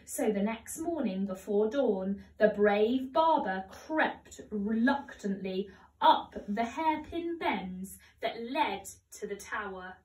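A young woman, a teacher, reading a children's story aloud in a measured, expressive storytelling manner. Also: mid-range voice. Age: young adult. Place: home conservatory, indoors. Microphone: camera a few metres away. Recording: roomy echo, distant microphone.

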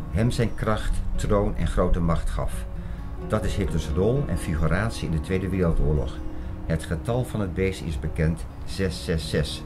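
A man playing an earnest theorist in an audio drama, lecturing in an emphatic, didactic and insistent way. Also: deep voice. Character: earnest male theorist expounding an apocalyptic conspiracy. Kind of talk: Dutch audio drama acting, lecturing monologue. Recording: prominent music bed under the voice.

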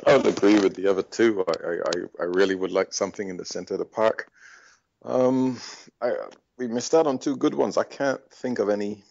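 A man with a low, creaky voice chats about sport as a podcast panellist. He is hesitant and repeats himself. The recording is dry and somewhat dull. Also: fairly even intonation, normal speed, darkish tone. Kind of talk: casual sports discussion.

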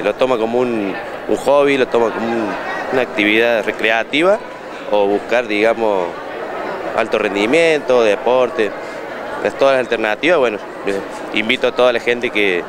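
A man's voice: lowish voice, background noise. A man in his thirties with an Argentine accent, a gym owner interviewed at his fair stand, repeating words and restarting often as he talks into a handheld mic. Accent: Argentine Spanish. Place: indoor expo hall stand. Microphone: handheld reporter mic.

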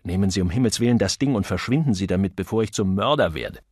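A man's voice: low-pitched, lively intonation, fast, a little mellow, breathy edge, no room sound, studio quality. A man voicing a grumpy, cynical advertising copywriter in an audiobook, curt, bossy and emphatic. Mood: grumbling.

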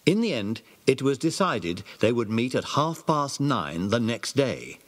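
A man narrating a children's audio drama with animated storytelling. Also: medium pitch, a little slow.

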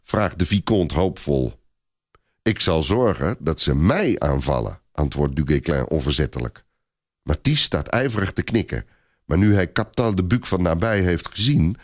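A man's voice: deep voice, expressive, moderate pace, no room sound, studio quality. A man narrating a story in a dramatic, scripted audiobook style.

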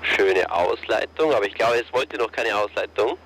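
A man with a fairly low voice talks a pilot through flight maneuvers in calm, encouraging steps. His voice comes over a radio with a faint hiss underneath. The commands are short and steady. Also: moderate pace, low-quality recording. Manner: calm, encouraging step-by-step instructions. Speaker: paragliding instructor.

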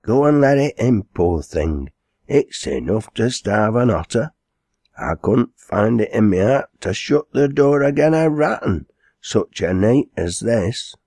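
A plain-spoken Northern English landlady from a storybook, acted by a male reader. She is bossy and tender-hearted by turns. Her speech is unhurried and homely, with little room sound. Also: on the low side, big pitch swings, warm tone, dry recording, clean studio sound, voice pushed up, full character voice. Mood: fussing.

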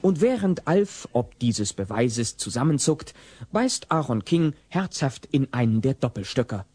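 Husky, animated male narrator. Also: mid-range voice, unhurried. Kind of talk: scripted audio play narration.

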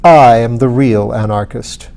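A man's voice: medium pitch, a little slow, creaky, a little mellow. A man reading a storybook, voicing a bitter, defiant anarchist who declaims with passion against the powerful. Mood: dangerous restraint. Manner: impassioned, declamatory.

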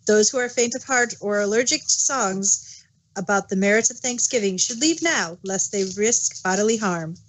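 A woman's voice: medium pitch, normal speed, fairly bright tone, no room sound, rough recording. A woman reading scripted narration as a narrator.

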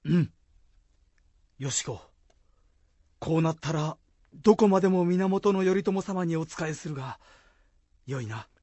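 Man acting a husky loyal samurai retainer. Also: a little high, measured pace, pauses often, warm tone, no echo. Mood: firm. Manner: humble, formal, archaic speech. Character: loyal, plain-hearted samurai warrior of medieval Japan, male. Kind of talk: Japanese period radio drama acting.

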